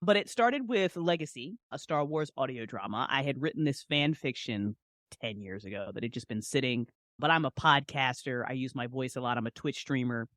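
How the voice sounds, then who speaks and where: A woman's voice: very deep voice, expressive, normal speed, fairly bright tone, no room sound, studio quality. A woman in her forties chatting casually as a podcast guest, talking in an informal, slangy, emphatic way. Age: 40s. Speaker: podcast guest, audio drama creator. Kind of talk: casual chat.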